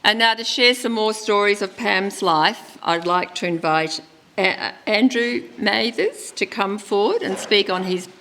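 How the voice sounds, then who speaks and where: A woman reading a eulogy at a church funeral service in a gentle, measured way, speaking into a lectern microphone. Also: mid-range voice, expressive. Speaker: funeral celebrant.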